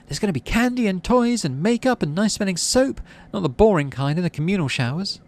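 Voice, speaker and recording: A man's voice: high-pitched, expressive, brisk, voice pushed up. A man voicing a chatty, knowing young girl who confides eagerly, for a story game. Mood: eager, smug.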